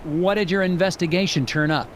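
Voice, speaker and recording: A man playing an earnest, awkward young FBI agent in an animated game, polite and hesitant with a deadpan streak. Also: sits high, brisk, slight background noise, lightly acted. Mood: puzzled, polite. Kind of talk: animated adventure game dialogue and dictated notes.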